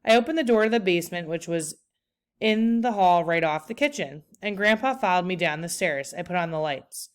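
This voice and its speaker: A woman reads a children's book aloud in a smooth, clear voice pitched a little low. She tells the story expressively and deliberately, as a teacher would. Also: lively intonation.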